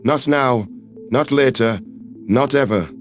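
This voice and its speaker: A man voicing a calm, earnest human man who speaks in firm, measured, reassuring tones. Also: medium pitch, faint music behind, voice pushed down, close to an everyday voice. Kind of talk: audiobook character voice.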